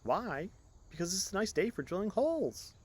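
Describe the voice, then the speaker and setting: A man plays a storybook fisherman who will not budge. He sounds nonchalant and obstinate, stating his case plainly. The pitch is a little raised. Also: big pitch swings, moderate pace, breathy edge, exaggerated voice. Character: stubborn, unbothered fisherman with a hand drill. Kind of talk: storybook character reading.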